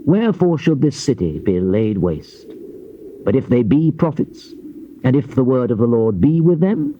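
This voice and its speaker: A man narrating a dramatized Bible reading in a solemn, measured, emphatic oratorical delivery. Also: medium pitch, sing-song. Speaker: scripture narrator. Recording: dull noisy old cassette tape.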